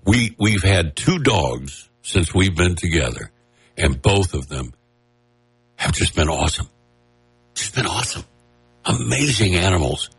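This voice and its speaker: Radio host, male, nasal, lively and loose, slightly husky, lowish voice. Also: unhurried, pauses often. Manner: animated, joking, rambling. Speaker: radio talk show host. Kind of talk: casual on-air chat. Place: radio studio. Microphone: studio mic with headphones.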